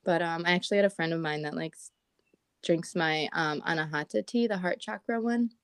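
Woman, casual chat, raspy morning voice, filler-heavy, dry close sound. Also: on the low side, brisk, no echo. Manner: chatty, lots of "like" and "um". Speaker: podcast co-host, herbalist. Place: at home in Portland.

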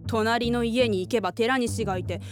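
A woman's voice: fairly high pitch, fairly even intonation, moderate pace, faint music behind, lightly acted. An actress in a radio drama playing a blunt, jealous young woman with a sarcastic, needling manner. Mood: sarcastic, envious. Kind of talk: Japanese radio drama acting.

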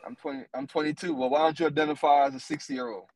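A man in his thirties talks in a casual podcast chat, blunt and animated, with plenty of cursing. His voice sits on the higher side for a man. The sound is dry and close to the mic. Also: quick pace, dry recording, low-quality recording. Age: 30s. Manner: animated, blunt, profane storytelling. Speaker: podcast co-host.

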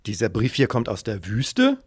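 A man's voice: on the low side, huge pitch swings, normal speed, breathy edge, no echo, exaggerated voice. Man acting a formal school principal, dry recording. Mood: puzzled. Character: school principal, adult man, earnest and puzzled by mysteries. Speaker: actor in a children's school radio play. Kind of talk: amateur radio drama acting.

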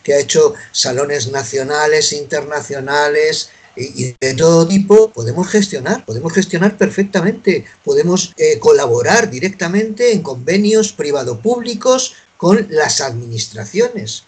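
A man representing an artists' association argues his case earnestly, pausing often on fillers. His voice is husky and sits a little high for a man. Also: animated. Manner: hesitant, many fillers, earnest. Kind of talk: panel discussion.

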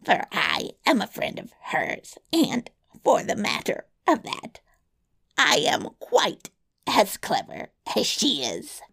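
A woman's voice: medium pitch, huge pitch swings, slow pace, creaky, fairly bright tone, husky, full character voice. A woman voicing a small, malicious male dwarf in a fairy tale, boastful and sly, with a taunting, mock-courtly drawl. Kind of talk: fairy tale storybook reading.

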